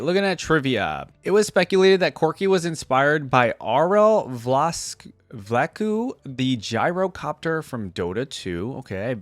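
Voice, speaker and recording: A man's voice: mid-range voice, no echo. A man hosts a reaction video in a casual, conversational way, with a mid-range voice. He talks informally, thinking aloud as he goes. The sound is dry and close to the microphone. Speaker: video host.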